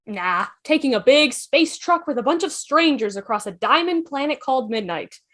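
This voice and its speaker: A woman's voice: high voice, lively intonation, normal speed, a bit bright. A woman playing a breezy, eager, cheerful traveller in a fan table read. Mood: breezy, teasing. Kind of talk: fan table read over video call.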